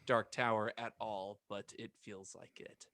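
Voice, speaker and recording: A man co-hosting a podcast in casual banter, with a hesitant, halting delivery. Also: on the low side, little pitch movement, sharp tone, dry recording. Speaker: podcast co-host.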